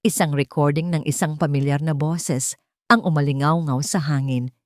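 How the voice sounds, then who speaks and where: A woman reads a romance story aloud with slow, dramatic pacing. Her voice sits low and the recording is dry and close, with no room around it. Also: fairly even intonation, dry recording. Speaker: narrator. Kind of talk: scripted narration of a romance story.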